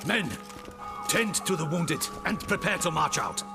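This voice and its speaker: An actor playing a proud, duty-bound guard captain in a fantasy game, speaking formally and emphatically with military indignation. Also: male voice, on the high side, a little slow, creaky, over loud music. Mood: commanding. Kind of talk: video game cutscene acting.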